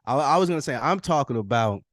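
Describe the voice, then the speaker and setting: A man co-hosting a podcast speaks in relaxed, loose banter, teasing his friend and slipping into acted-out quotes as he goes. His voice sits at an ordinary pitch for a man. The sound is dry and close to the mic, with no room around it. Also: quick pace, dry recording. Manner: joking, teasing, acts out quotes. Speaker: podcast co-host. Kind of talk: casual chat.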